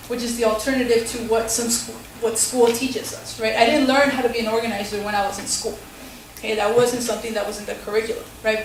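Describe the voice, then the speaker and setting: A woman's voice: on the high side, slight background noise. A young woman teacher and community organizer giving a casual forum talk, full of fillers and tag questions. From Tucson, Arizona. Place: school hall. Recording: echoey hall, far from the mic.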